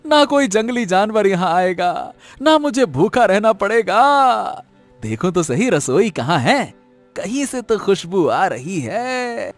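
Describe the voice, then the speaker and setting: A man playing an excitable, greedy male jackal in an animated moral story, exclaiming and repeating his words. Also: sits high, slow pace, faint music behind, exaggerated voice. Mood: greedy delight.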